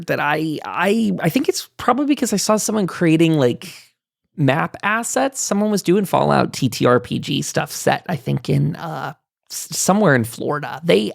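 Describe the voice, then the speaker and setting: Creaky-voiced podcast host, mid-pitched, dry sound. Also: no echo. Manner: rambling, thinking aloud. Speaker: podcast host and roundtable moderator. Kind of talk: casual roundtable discussion of video games.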